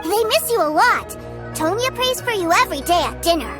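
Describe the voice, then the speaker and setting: A woman's voice: very high voice, lively intonation, a little fast. A woman voicing an innocent, cheerful, trusting little boy in a video game, earnest and wide-eyed. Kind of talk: animated video game character acting. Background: background game music.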